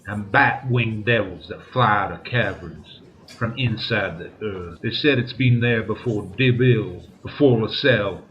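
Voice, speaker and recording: A man playing a grave, courteous police inspector with a formal, deliberate manner in an old-time radio drama. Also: fairly low pitch, lo-fi sound, natural voice.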